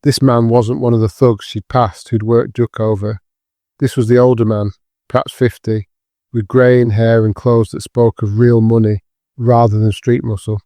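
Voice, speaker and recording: A man's voice: mid-range voice, little pitch movement, moderate pace, dark tone, no echo, clean studio sound. A man reading scripted narration for an audio drama.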